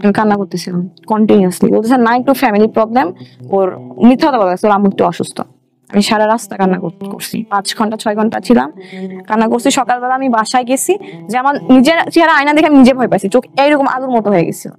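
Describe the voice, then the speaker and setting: A woman's voice: on the high side, quick pace, darkish tone. A young woman with a Bangladeshi Bengali accent, telling her own story in a colloquial way as an interview guest, with English words mixed in. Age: young adult. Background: background music.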